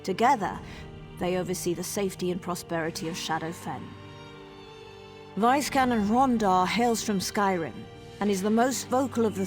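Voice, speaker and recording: A woman playing a knowing town guide in a video game, measured, informative and a little wry. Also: medium pitch, darkish tone, close to an everyday voice. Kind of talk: video game character exposition.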